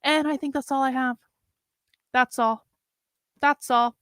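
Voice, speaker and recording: A middle-aged woman hosting a casual livestream, chatty and rambling with wry asides, her voice going hoarse. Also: high voice, fairly even intonation, a little slow, fairly bright tone, no echo, studio quality. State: losing her voice. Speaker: livestream host.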